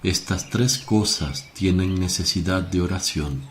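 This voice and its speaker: An actor playing a grave, gentle, sorrowful teacher in a devotional radio play, speaking solemnly in measured phrases. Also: male voice, low voice. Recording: faint noise with birdsong. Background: birdsong and outdoor ambience.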